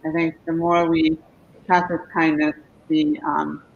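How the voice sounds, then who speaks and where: A woman hosting a conversational live Q&A, affirming what others say and pausing as she goes. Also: deep voice, little pitch movement, gravelly. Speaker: host of live Q&A. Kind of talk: conversational interviewing, reading viewer comments. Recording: dull lo-fi stream with background noise.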